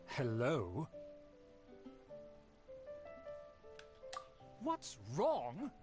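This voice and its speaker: A man's voice: a little high, wildly swooping pitch, drawn-out words, over music, exaggerated voice. A man voicing a haughty, easily offended nobleman in a video game, speaking in a pompous, formal and disdainful way. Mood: indignant, haughty.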